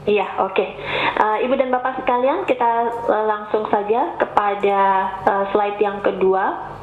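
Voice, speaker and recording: A woman's voice: medium pitch, measured pace. A woman with a cough presents patiently over an online call, explaining things step by step and addressing her audience politely. Her voice comes through thin and phone-like, with a roomy echo and faint hiss behind it. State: has a cough. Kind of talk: webinar presentation. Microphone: remote call mic. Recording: tinny, echoey online call audio.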